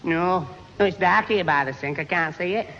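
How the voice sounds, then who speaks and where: A man's voice: high voice, normal speed, bright, forceful tone. A man playing a daft, put-upon boy sidekick, stammering and grumbling in a 1960s radio sitcom. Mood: anxious, apologetic. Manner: flustered, stammering repeats. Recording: muffled, very noisy 1960s radio recording.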